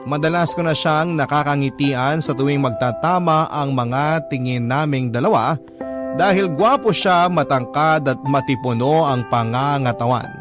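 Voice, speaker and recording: A man's voice: fairly high pitch, normal speed. A man narrating a letter in the first person as a scripted radio drama story. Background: instrumental music.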